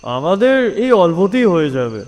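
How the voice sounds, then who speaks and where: A man playing a loving, self-sacrificing father in an audio story, coaxing and gently insistent. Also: fairly high pitch, very animated, moderate pace. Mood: fond, insistent. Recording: long hall-like reverb.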